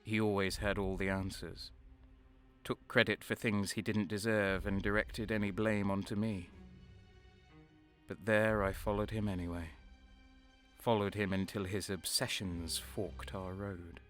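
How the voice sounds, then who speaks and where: A man's voice: lowish voice, little pitch movement, measured pace, fairly bright tone, soft background music. An actor playing a cynical, cold and sadistic soldier who narrates his crimes in a brooding, sardonic way in an audio drama. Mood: brooding, bitter.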